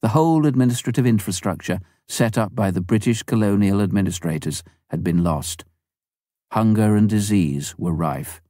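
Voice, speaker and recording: A man narrates a memoir in a deep, warm voice. His reading is measured and even, recorded close and dry with no room sound. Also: no echo. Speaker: audiobook reader.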